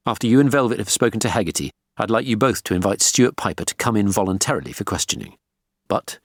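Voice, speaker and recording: A man's voice: on the low side, no room sound, studio quality. A man playing a male detective superintendent in an audiobook, firm, probing and authoritative. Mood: commanding.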